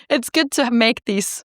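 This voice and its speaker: A singer-songwriter woman from Norway, chatting casually in an interview, laughing easily and filling pauses with ums and likes. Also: fairly high pitch, no room sound, clean studio sound. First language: Norwegian.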